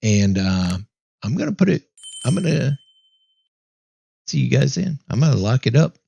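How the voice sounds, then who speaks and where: A man hosting a race broadcast in a casual chat, easygoing and upbeat, his voice going hoarse. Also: medium pitch, big pitch swings, moderate pace, dark tone. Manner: easygoing, upbeat, rambling with fillers. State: losing his voice, clearing throat. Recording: dry, dull lo-fi mic.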